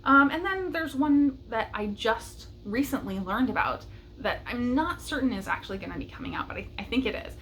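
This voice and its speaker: A woman's voice: on the high side, lively intonation, moderate pace, a bit bright. Enthusiastic woman book vlogger, noisy background. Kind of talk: casual talk to camera, book recommendations.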